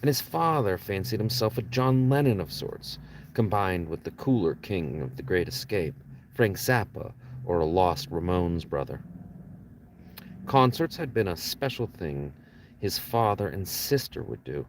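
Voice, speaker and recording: A man's voice: sits low, lively intonation, measured pace, slight background noise, poor audio. A man, an author reading his own novel aloud as an audiobook narration, with casual asides to listeners.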